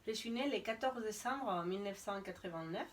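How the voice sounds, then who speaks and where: A woman's voice: medium pitch, fairly quick, recorded from a distance. A woman in her thirties from Spain answers interview questions in a chatty, casual way with lots of hesitation fillers. She sits a bit back from the mic, with faint ambient noise behind her. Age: 30s. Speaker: interview guest. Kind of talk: casual conversation.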